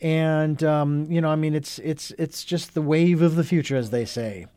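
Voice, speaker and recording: Male talk-radio host, slightly high-pitched, earnest and rambling, close dry mic. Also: steady tone, normal speed, warm tone, clean tone, dry recording. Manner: rambling, many ums and uhs, earnest. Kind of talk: casual talk radio chat.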